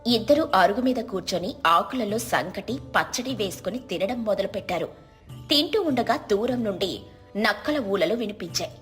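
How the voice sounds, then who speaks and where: A woman narrating a village moral story in a calm, measured reading voice. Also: medium pitch, faint music behind.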